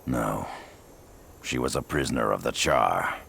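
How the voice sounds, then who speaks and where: An actor playing a grim, proud and vengeful beast-warrior rebel leader in a video game, with a deep gravelly growl and a terse, commanding delivery. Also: male voice, a little slow, fairly bright tone, a little hiss or hum, exaggerated voice. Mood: grim, measured. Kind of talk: dramatic video game acting.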